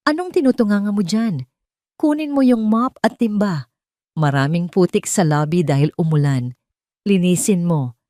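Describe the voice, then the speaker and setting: A woman voicing a gruff bossy male supervisor. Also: fairly low pitch, lively intonation, moderate pace, dark tone, no echo, clean studio sound, lightly acted. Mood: barking. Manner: barking orders.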